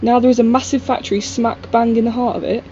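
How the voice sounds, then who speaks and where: A woman's voice: on the high side, little pitch movement, fast talker, dark tone, lo-fi sound, slight background noise, close to an everyday voice. A young woman playing a stubborn, plain-spoken daughter in an audio drama, pleading and questioning.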